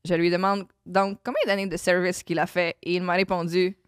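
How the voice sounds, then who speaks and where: A woman's voice: on the low side, flat delivery, brisk, smooth, no echo, clean studio sound. A woman, co-hosting a podcast and reading a letter aloud, amused and laughing between lines. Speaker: podcast co-host. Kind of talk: casual chat, reading aloud.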